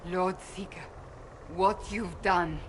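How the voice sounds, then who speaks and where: An actress playing a stern, troubled holy warrior in a video game, speaking gravely and formally. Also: female voice, on the low side, steady tone, slow, in a large hall, slight background noise. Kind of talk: video game dramatic voice acting.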